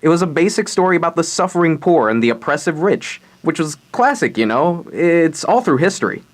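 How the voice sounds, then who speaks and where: Candid, animated 1930s theater man played by a man. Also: fairly high pitch, fairly quick. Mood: reflective. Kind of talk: staged radio theater reading.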